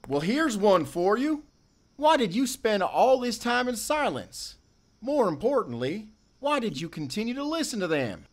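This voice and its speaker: A man's voice: high voice, sing-song, unhurried. Wounded, sardonic ex-con acted by a man. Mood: angry, pleading. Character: wounded, sardonic male ex-convict with a soft heart. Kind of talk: audio drama acting.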